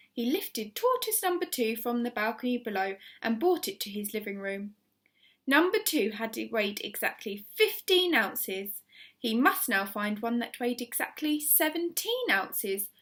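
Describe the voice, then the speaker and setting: A woman with a fairly high, very animated voice reads a children's story. She is expressive and emphatic, slowing deliberately on important words like a primary school teacher. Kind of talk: children's story read aloud.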